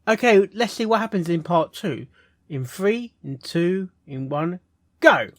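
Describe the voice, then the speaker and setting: A man hosting a reaction video with casual commentary, musing haltingly and repeating himself. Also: sits high, animated, dry recording.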